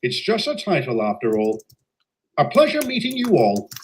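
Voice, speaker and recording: A man's voice: a little high, huge pitch swings, normal speed, a little hiss or hum. A man playing a jovial, stout old railway director. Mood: genial. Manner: polite, formal and jovial. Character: stout, genial older male railway director. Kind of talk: fan audio drama voice acting.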